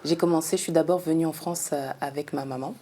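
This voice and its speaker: A woman from the Democratic Republic of the Congo, a businesswoman answering interview questions in a calm, earnest way with frequent hesitations. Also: deep voice, quick pace. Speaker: guest, businesswoman. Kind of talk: conversational interview.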